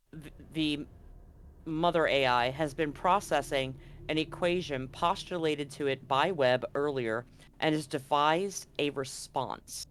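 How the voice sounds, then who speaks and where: A man's voice: a little high, fairly bright tone, a little hiss or hum. A man acting as game master, narrating a tabletop roleplaying game and reading handouts aloud.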